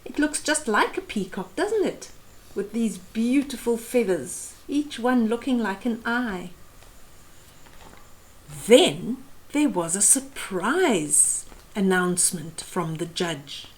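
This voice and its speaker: A woman in her fifties reading a children's picture book aloud expressively, with deliberate phrasing for young listeners. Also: fairly high pitch, lively intonation, poor audio. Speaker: storyteller host. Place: small room at home, indoors.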